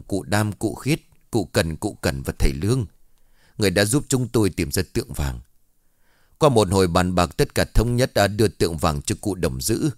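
A man voicing a calm, fair-minded older village man who mediates a dispute. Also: low voice, no echo, studio quality. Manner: calm, measured, reassuring.

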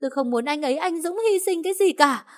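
A woman's voice: high voice, animated, fast talker, voice pushed up. A sobbing heartbroken young woman. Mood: anguished sobbing. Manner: wailing, sobbing, pleading. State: crying. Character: grieving young woman screaming through sobs.

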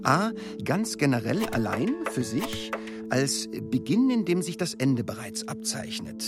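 A man performing a deliberate, enumerating poetic recitation in a radio play. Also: medium pitch, very animated, over loud music. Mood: deliberate, solemn.

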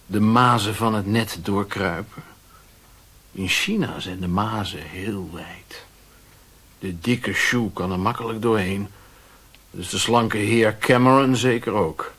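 An actor playing a fat, shady Chinese merchant in a radio play, mocking and philosophical, with a deliberate, taunting way of talking. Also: male voice, fairly low pitch, fairly bright tone. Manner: sardonic, taunting, deliberate, given to aphorisms.

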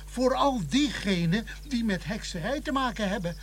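An actor playing a fussy, scatterbrained village vicar in a Dutch radio play, hurried and dithering, often repeating himself. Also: male voice, extremely high pitch, animated, a little hiss or hum. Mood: dithering, excited.

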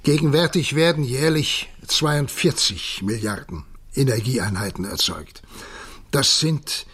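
An actor playing a grave, authoritative president in a 1960s German radio drama, speaking formally and deliberately. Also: male voice, mid-range voice, expressive, a bit bright, breathy edge. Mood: urgent, insistent. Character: grave, authoritative male president of a future civilisation.

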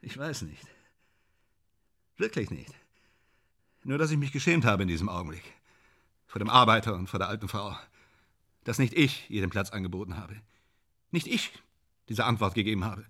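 An actor in a radio drama playing a vain, guilt-ridden, drunken film actor who rambles and justifies himself theatrically. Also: male voice, on the low side, expressive, normal speed, pauses often, bright, forceful tone, full character voice. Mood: ashamed, subdued. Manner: theatrical, rambling, self-pitying, swinging from declaiming to muttering. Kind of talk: German radio drama acting.

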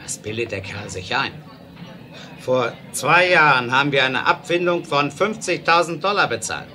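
An actor playing a hot-tempered, blustering American railroad magnate in a 1970s German radio play, exclamatory and given to flustered stammering. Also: male voice, medium pitch, lively intonation, moderate pace, bright, forceful tone. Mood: outraged.

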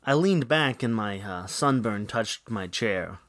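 Lively male co-host, dry studio sound. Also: lowish voice, measured pace, dry recording. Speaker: radio show co-host. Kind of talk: scripted comic banter.

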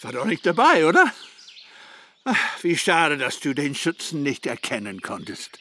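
An actor playing a sardonic older Irish ex-militant father in a radio crime drama, with a mocking, halting, theatrical delivery. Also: fairly high pitch, huge pitch swings, bright, forceful tone, a little hiss or hum, exaggerated voice. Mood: taunting.

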